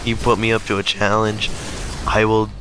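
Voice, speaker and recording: A man with a hoarse, strained voice that keeps cracking, clearing his throat often as he talks casually over gameplay footage. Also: on the low side, completely flat delivery, normal speed, background noise. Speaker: gaming channel host.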